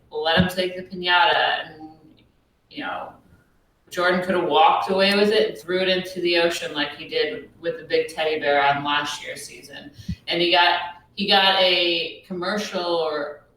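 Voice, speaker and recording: A woman with a deep voice co-hosting a podcast, musing in a casual, hesitant way with many ums. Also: a bit bright, very echoey, distant mic, slight background noise, poor audio. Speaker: podcast co-host. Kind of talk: casual recap chat.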